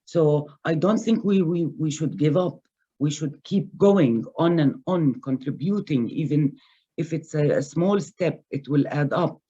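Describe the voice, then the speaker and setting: A man answering as a panelist in an online webinar, speaking in a measured, hesitant way with many fillers, over a video call. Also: on the high side, mellow, slightly rough, muffled recording.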